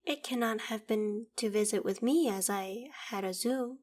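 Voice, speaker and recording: A woman's voice: on the high side, normal speed, darkish tone, natural voice. A woman playing a proud, ancient female dragon in an audio roleplay, speaking in formal, archaic phrases with a haughty, theatrical manner that still holds fondness for humans. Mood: exasperated, curious. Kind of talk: scripted audio roleplay monologue.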